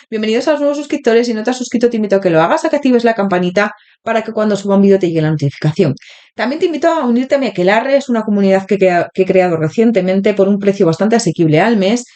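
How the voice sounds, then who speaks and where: A woman with a Spain Spanish accent, hosting an interactive tarot reading and speaking directly to her viewers. Her throat is still sore from a cold, and she talks in a chatty way with many filler pauses. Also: mid-range voice, dark tone. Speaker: tarot reader, channel host.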